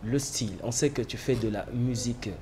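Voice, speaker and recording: A male TV host interviews a guest in a hesitant way, pausing often on fillers. His voice is dark and mellow at a middle pitch. Faint hiss runs beneath it. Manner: halting, many "euh" fillers. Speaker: TV show host. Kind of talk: TV interview hosting.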